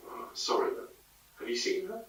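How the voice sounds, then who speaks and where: In a very echoey room, a little off the mic, a man plays a courteous male researcher interviewing in an audio drama. He is probing but hesitant, with a bright voice. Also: normal speed, far from the mic, lightly acted. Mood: tentative, curious.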